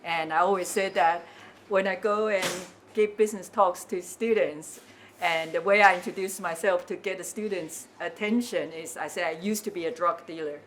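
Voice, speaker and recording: Lowish-voiced woman with a Taiwanese accent. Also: steady tone, moderate pace, fairly bright tone, slight background noise. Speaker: interviewee, cafe owner. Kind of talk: informal explanatory interview talk.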